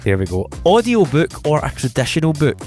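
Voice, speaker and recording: A man's voice: fairly high pitch, very animated, a little mellow, faint music behind. A man hosting a local radio interview, upbeat and encouraging. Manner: upbeat and encouraging, with a quick stock of praise. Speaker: radio show host.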